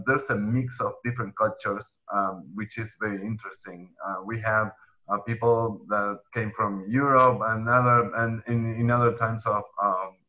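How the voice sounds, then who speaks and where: Young Argentine man, Spanish accent, low-pitched, halting panel host, muffled call audio. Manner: hesitant, many ums and restarts. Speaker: panel moderator, HR consultant. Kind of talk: webinar panel introduction. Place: indoor room at home. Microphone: headset earphones on video call.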